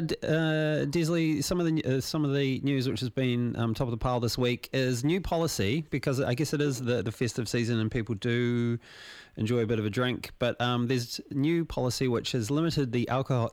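A man's voice: mid-range voice, dry recording. A man with a New Zealand accent hosting a radio interview, asking questions conversationally with hesitant fillers. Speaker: radio host.

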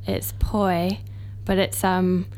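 A woman's voice: lowish voice, flat delivery, slow pace, darkish tone. A young American woman, a doctoral researcher, chatting casually as a guest and laughing easily. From United States. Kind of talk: casual interview chat.

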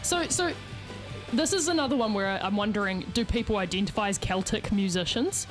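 A woman's voice: medium pitch, a little fast. Inquisitive woman hosting with music behind. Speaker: radio music show host. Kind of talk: casual radio interview chat. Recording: music playing behind speech.

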